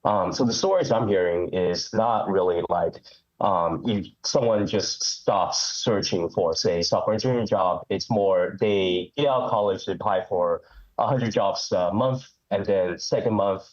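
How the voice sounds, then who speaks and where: A man's voice: sits low, a little slow, muffled recording. A man calling in to a live show, speaking hesitantly with many ums and restarts, with a head cold. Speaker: caller. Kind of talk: call-in chat.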